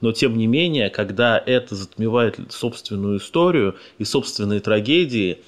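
A man hosting a radio talk show, interviewing a guest in a thoughtful, measured way and carefully qualifying his views. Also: on the low side, expressive. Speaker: radio host.

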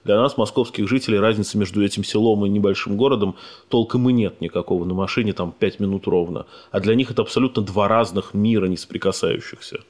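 A man hosting a radio talk show, interviewing a guest in a thoughtful, measured way and carefully qualifying his views. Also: on the low side, darkish tone. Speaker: radio host.

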